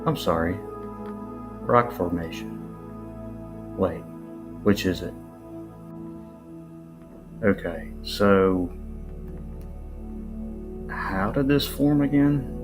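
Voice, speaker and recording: A man giving casual, unscripted commentary in a musing, halting way, often posing rhetorical questions. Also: low-pitched. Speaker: video narrator. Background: new-age music.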